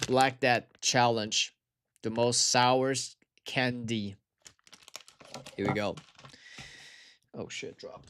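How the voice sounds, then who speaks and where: A man's voice: medium pitch, fairly even intonation, drawn-out words, long pauses, fairly bright tone, clear, resonant tone. A tired young man from Taiwan, chatting to viewers on a livestream in slangy, swearing speech. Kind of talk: casual livestream chat.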